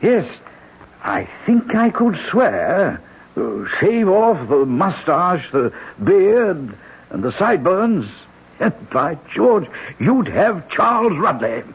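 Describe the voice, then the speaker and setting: An actor playing a fussy, flustered country doctor who stammers and dithers, husky, in an old radio drama. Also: male voice, sits high, very animated, slow pace, heavily acted. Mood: eager, fussy. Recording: tinny old radio recording.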